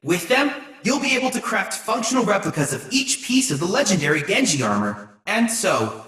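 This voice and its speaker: Eager, lively android boy. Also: high voice, normal speed, slightly rough, no room sound, full character voice. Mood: earnest, purposeful. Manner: formal, precise, earnest. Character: polite, eager, bookish android boy research assistant. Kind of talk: scripted video game dialogue.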